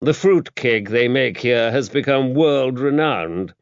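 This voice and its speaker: A man's voice: mid-range voice, animated, slow, no room sound, muffled recording, pitched above the performer's own voice. Pompous upper-class English lord, acted by a man. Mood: breezy, rueful. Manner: pompous, drawling old-fashioned turns of phrase. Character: pompous, self-important older English lord, clipped and aggrieved.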